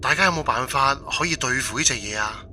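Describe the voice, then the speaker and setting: A man's voice: mid-range voice, a little fast, bright, forceful tone, a little hiss or hum. A man playing an exasperated, colloquial character on a ghost forum in an audio drama, with a Cantonese accent. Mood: fretful, pleading. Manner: colloquial, exclamatory.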